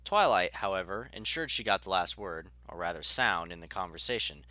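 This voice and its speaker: A man reading scripted story narration as an audiobook narrator, in a measured, articulate way. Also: sits low, animated, bright, forceful tone, no echo.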